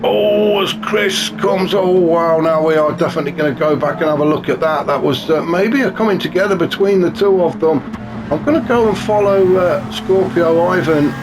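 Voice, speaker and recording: Guy calling a sim race, bit higher pitched, snuffly cold voice, wry and chatty, cars roaring underneath. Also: moderate pace. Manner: chatty and wry, many fillers. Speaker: race commentator. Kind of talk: live sim racing commentary. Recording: noisy with car engine sounds behind.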